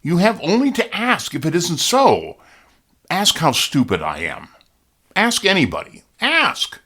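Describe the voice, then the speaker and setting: An actor playing a poor, bewildered and indignant wheelwright on trial in a radio drama, rambling and pleading with agitation. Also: male voice, a little high, wildly swooping pitch, a little slow, sharp tone, slightly rough, full character voice. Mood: agitated pleading.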